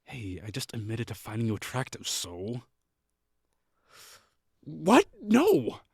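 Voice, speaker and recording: A man's voice: mid-range voice, wildly swooping pitch, vocal fry, darkish tone, breathy edge, no room sound, studio quality, heavily acted. A young man playing a haughty, sarcastic college rival who is secretly lonely, in a scripted audio roleplay. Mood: flustered teasing. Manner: sarcastic, scornful, quick to bristle.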